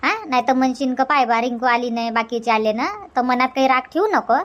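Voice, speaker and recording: Woman voicing a high-pitched, chatty village housewife. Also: fairly quick, lo-fi sound, natural voice. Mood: explaining. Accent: Varhadi Marathi from Vidarbha. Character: chatty middle-aged village housewife in a comedy cartoon. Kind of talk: cartoon comedy dialogue.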